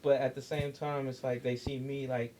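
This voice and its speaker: A man's voice: medium pitch, flat delivery, moderate pace, mellow, slight background noise, low-quality recording. Slangy, emphatic podcast man. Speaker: podcast co-host. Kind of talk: casual chat.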